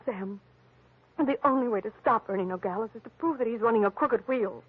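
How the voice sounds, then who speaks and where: A woman's voice: fairly high pitch, a little fast, slightly rough. An actress playing an evasive, pleading married woman with dramatic delivery in a 1940s radio drama. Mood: earnest, wounded. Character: pleading, evasive, melodramatic wife of a rich man. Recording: muffled, phone-like old radio recording.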